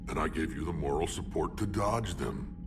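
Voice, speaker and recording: Deep, gravelly alien warlord. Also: male voice, lively intonation, moderate pace, recorded from a distance, noisy background. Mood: teasing. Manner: blunt, sardonic, weighty delivery. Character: gruff battle-hardened male alien warlord, wry and proud. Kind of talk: video game character acting.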